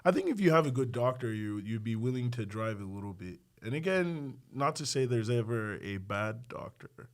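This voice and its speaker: A man's voice: mid-range voice, expressive, normal speed, hardly any pauses. A man from Nigeria, chatting casually as a doctor and podcast guest in a self-deprecating, joking way. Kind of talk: casual interview chat.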